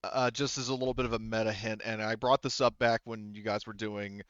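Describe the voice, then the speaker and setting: A man's voice: on the low side, flat delivery, fairly quick, a bit bright, clear, resonant tone, no room sound, highs cut off. A man speaking casually and emphatically as game master, explaining options to players in a tabletop roleplaying game.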